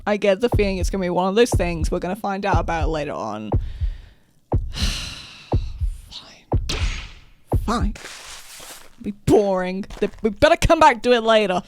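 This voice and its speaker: A woman co-hosting a game stream with casual, teasing banter and excitable interjections. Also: mid-range voice, a little slow, long pauses, background noise. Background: faint music.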